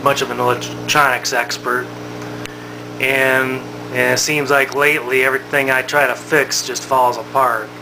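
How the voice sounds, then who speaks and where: A man giving a casual, unscripted demonstration as a hobbyist, talking in a halting, matter-of-fact way. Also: medium pitch. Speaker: hobbyist showing his vintage radio-phonograph. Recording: noisy home recording with steady hum.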